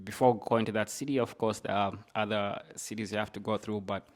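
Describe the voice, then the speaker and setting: A man's voice: lowish voice, fairly even intonation, a little fast. A man from Zambia with a Zambian accent, telling his own story conversationally as a podcast guest, with casual filler phrases like "you know".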